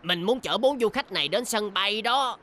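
An actor voicing an impulsive, pushy but good-hearted young sports car in a children's cartoon, expressive and exclamatory. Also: mid-range voice, moderate pace. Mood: earnest. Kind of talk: animated children's cartoon dub.

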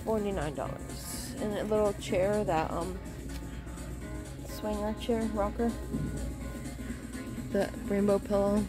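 A woman vlogging a casual shopping trip through a home goods store, pointing out items and reading prices aloud. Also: on the high side. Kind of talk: casual shop-with-me narration.

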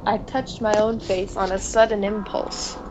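A woman's voice: medium pitch, little pitch movement, unhurried, background noise. A playful, giggly woman hosting a casual gaming playthrough, chatting and reading story text aloud. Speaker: gaming video host. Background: music.

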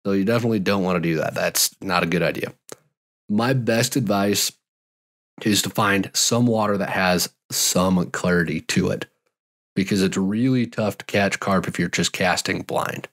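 Guy in his 30s doing a solo fishing-style podcast, kinda raspy from a cold, lowish voice, lots of uhs and restarts, close studio mic. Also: moderate pace. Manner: relaxed, rambling, frequent uh and restarts. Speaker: podcast host and fly fishing guide. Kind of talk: casual solo podcast answering listener questions. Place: home studio with gear shelves. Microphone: large studio mic, headphones on.

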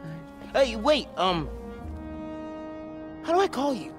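A man's voice: very high voice, big pitch swings, unhurried, over music, heavily acted. A man voicing an eager, awkward young American teenage boy helping a hero in a video game. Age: young adult. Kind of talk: video game cutscene acting.

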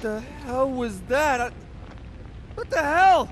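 A man's voice: very high voice, expressive, slow, slight background noise, full character voice. A man playing a rebellious young American delinquent, the younger brother, in a video game cutscene. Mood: panicked. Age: young adult.

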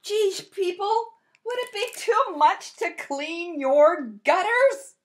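A woman acts out a big, bumbling storybook spider with a very high voice. It is eager and easily aggrieved, exclaiming theatrically and stretching words out slowly. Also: lively intonation, full character voice. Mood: indignant. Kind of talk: children's picture-book read-aloud.

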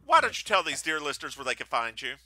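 A man co-hosting a podcast in casual chat, animated and teasing with a theatrical flair. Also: a little high, fast, heavy background noise. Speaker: podcast co-host.

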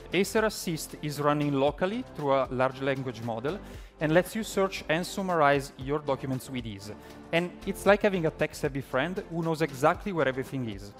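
Man announcing products over music. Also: a little high.

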